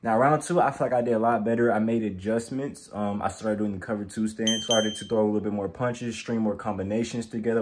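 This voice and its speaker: A man's voice: fairly low pitch, darkish tone. A young man talking casually to the camera, reviewing his own performance as an amateur athlete. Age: young adult. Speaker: amateur boxer, vlogger. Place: indoor room in front of curtains.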